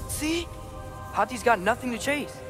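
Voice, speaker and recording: A man's voice: very high voice, expressive, a little slow. An actor playing an earnest, headstrong teenage boy in a video game, eagerly explaining and persuading. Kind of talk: dramatic video game acting. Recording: clear music behind the voice.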